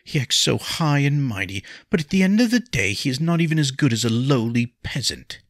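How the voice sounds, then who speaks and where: Casting: bitter, scornful peasant wife read by a male narrator, mousy but defiant, lively pitch swings, storybook drama. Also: normal speed, vocal fry, slightly rough, no room sound, clean studio sound, full character voice. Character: short chubby bitter serf woman, scornful and defiant.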